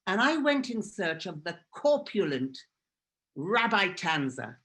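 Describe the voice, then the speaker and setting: A woman's voice: fairly low pitch, big pitch swings, unhurried, fairly bright tone. A woman from Israel whose first language is Hebrew, giving an earnest, emphatic personal talk as a guest speaker. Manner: earnest and emphatic, repeating phrases for force.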